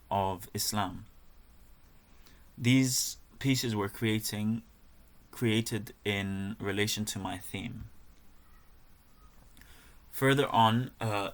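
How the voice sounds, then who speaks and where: Soft-spoken young man presenting art. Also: fairly low pitch. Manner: measured, with fillers and restarts. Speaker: fine art student. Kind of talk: reading a presentation.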